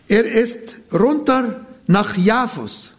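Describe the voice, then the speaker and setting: A middle-aged man whose first language is Arabic, preaching a sermon in halting German with an Arabic accent and frequent restarts. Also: high-pitched.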